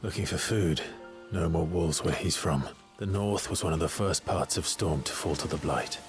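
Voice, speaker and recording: A man voices a reserved young hero in a game cutscene, answering his companion in calm, clipped, dryly sarcastic lines. The voice sits very low, with game music clearly audible beneath it. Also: moderate pace, natural voice.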